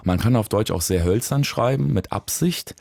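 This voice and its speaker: A man, a musician, talking reflectively in an interview, searching for words with pauses and restarts. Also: fairly low pitch, lively intonation, vocal fry, a little mellow, dry recording. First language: German. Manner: thoughtful, searching, with fillers and restarts. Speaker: musician guest.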